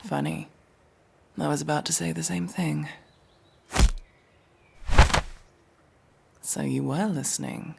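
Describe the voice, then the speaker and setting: A man playing a cold, sardonic masked elf mercenary in a fantasy audio roleplay, menacing and dryly sarcastic, with a gravelly, husky voice. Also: a little high, steady tone, noisy background. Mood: dry, taunting. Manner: slow, menacing, dry sarcasm.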